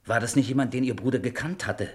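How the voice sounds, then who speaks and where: An actor playing a worried, self-interested show-business manager who speaks deliberately and emphatically in a radio crime drama. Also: male voice, mid-range voice, natural voice. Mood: uneasy, probing.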